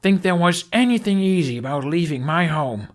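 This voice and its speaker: Man voicing a fierce, indignant young Viking woman, raised pitch. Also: animated, moderate pace, lightly acted. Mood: defensive, challenging. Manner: heated, accusing, emphatic. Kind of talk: audiobook character acting.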